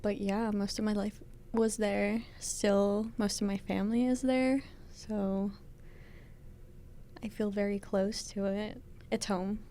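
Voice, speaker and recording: A woman's voice: mid-range voice, takes it slowly, slight background noise. A young woman who grew up near the border, answering interview questions casually with many fillers. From Tijuana area. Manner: relaxed, filler-heavy, laughs easily.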